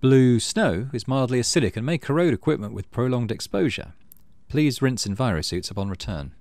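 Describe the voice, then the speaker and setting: A middle-aged man hosting a let's play, reading game text aloud and thinking out loud as he plays. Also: medium pitch, animated, fairly quick, no echo. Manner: thinks aloud while playing.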